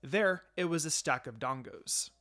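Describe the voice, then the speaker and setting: A man's voice: fairly high pitch, expressive, unhurried, fairly bright tone. A man narrating a scripted fan fiction story as a storyteller. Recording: music behind the voice.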